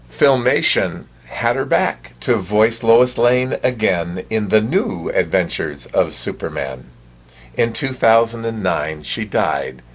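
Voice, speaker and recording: Low, slow-talking male host. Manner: halting, broken into short phrases. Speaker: show host. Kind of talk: presenting to viewers, informal introduction.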